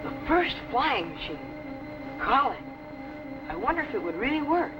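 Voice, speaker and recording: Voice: sits high, sing-song, moderate pace, music in the background. A young performer playing an earnest, curious boy assistant in a 1950s sci-fi drama. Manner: earnest, wondering. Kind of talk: 1950s children's sci-fi television acting. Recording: roomy, noisy vintage programme soundtrack.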